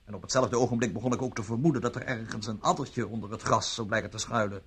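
An actor playing a flippant bon vivant gambler under suspicion, glib and protesting, in a radio drama. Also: male voice, lowish voice, fairly even intonation, fast talker, a little hiss or hum, close to an everyday voice. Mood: protesting, defensive. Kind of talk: vintage Dutch radio drama acting.

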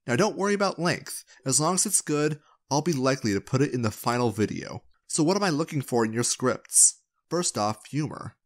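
A man's voice: medium pitch, lively intonation, fairly quick. Playful man narrating for his channel. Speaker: video creator and channel host. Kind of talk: scripted narration to viewers.

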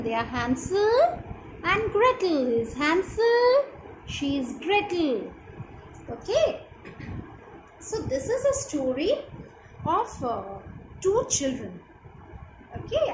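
A young woman with an Indian accent retells a story for children in simple phrases, often checking in with questions. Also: sits high. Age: young adult. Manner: simple explanatory phrasing with frequent questions to listeners. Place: small living room indoors, on a sofa. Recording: roomy echo, clearly noisy home phone recording.

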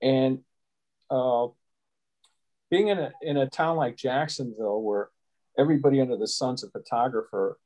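Casting: man in his 60s, from Chicago, mid-pitched, relaxed explainer with rambling asides, webcam video call from home. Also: low-quality recording. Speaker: guest photographer. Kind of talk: casual interview answers. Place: small room at home with window blinds.

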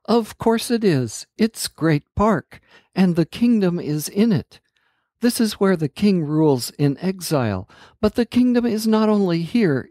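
A man's voice: high-pitched, mellow, no room sound, studio quality, voice pushed up. A man voicing a brave, spirited young princess in a storybook reading, speaking earnestly and with eager urgency. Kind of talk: storybook character voice.

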